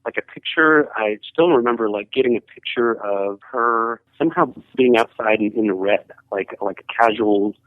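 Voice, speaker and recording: A man calling in to tell a ghost story in a casual, halting way, full of hedging fillers, over the phone. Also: medium pitch, no room sound. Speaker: caller sharing a ghost story. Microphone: telephone. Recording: tinny phone line.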